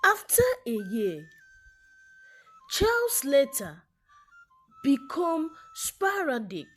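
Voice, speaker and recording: A woman narrating a scripted folktale in a deliberate, dramatic storytelling manner. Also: sits high. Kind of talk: scripted folktale narration, reading character names before their lines.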